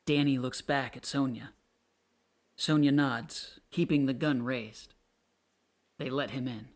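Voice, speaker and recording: A man's voice: medium pitch, steady tone, slow pace. A man reading stage directions as scripted narration for a play.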